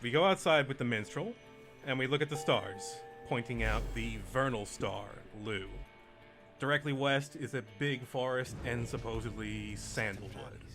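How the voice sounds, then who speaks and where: A man acting as game master, talking casually and haltingly as he hosts and narrates a tabletop game session. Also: mid-range voice. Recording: music playing behind the voice. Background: music.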